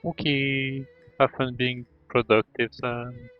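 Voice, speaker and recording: A man's voice: mid-range voice, flat delivery, slow, narrow, tinny audio. A man livestreaming a game, giving casual, muttered commentary to himself. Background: game music.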